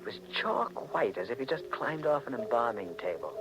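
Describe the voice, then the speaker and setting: A man's voice: medium pitch, wildly swooping pitch, fairly quick, over music. An actor playing a wary, hard-boiled American man in a 1940s radio mystery, terse and dramatic, with an American accent. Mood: wary, tough. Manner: terse, dramatic, tough-guy delivery. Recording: noisy old 1940s radio recording.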